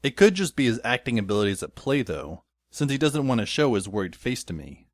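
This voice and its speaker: A man's voice: medium pitch, big pitch swings, fairly quick, no echo, close to an everyday voice. A man playing a wry, brooding teenage schoolboy who narrates his own story in an audio drama with dry, sardonic asides. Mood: wry, pondering. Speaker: lead actor and narrator. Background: faint background music.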